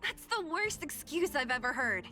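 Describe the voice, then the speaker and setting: A woman's voice: high voice, big pitch swings, normal speed, sharp tone, full character voice. A woman voicing a blunt, cool-headed young woman fighter who speaks in a dry, skeptical, curt way. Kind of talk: studio video game voice acting. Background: game music.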